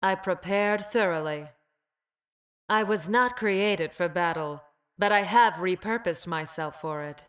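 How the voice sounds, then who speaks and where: A woman's voice: fairly low pitch, measured pace, a bit bright. A woman voicing a cool, calculating, condescending female scientist fighter in a video game, with a clipped, precise, clinical manner. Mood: calm, analytical. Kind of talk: game character voice lines.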